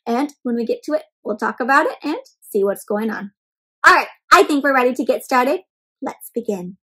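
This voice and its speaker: A young woman hosting an interactive read-aloud for small children, expressive and full of questions addressed straight to her listeners. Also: fairly high pitch, moderate pace, husky, low-quality recording. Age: young adult.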